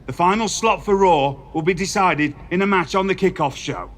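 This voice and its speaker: An actor playing a stern older wrestling general manager, speaking in a measured, formal, lecturing way. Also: male voice, high-pitched, close to an everyday voice. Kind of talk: video game voice acting.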